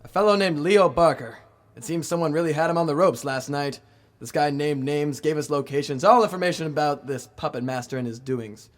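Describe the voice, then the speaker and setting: Private investigator, male actor: higher-pitched, earnest, breezy, clipped old-time radio serial patter. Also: a little fast, lightly acted. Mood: earnest, alert.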